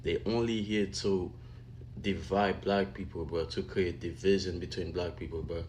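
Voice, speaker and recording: A man's voice: sits low, fairly even intonation, moderate pace, darkish tone, a little hiss or hum. A man in a heated, casual rant, slangy and repetitive, ending most lines with "bro". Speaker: online commentator.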